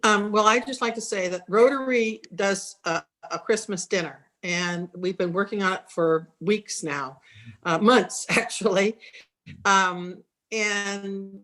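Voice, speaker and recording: A woman speaking at a city committee meeting, hesitant, with many pauses and fillers. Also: mid-range voice, fairly bright tone. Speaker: committee member. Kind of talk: meeting discussion.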